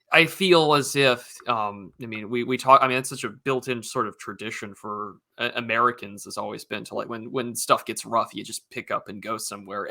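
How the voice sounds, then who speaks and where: Very bright-voiced male podcast host. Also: lowish voice, vocal fry. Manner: thinks aloud with restarts and wry asides. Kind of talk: casual podcast conversation.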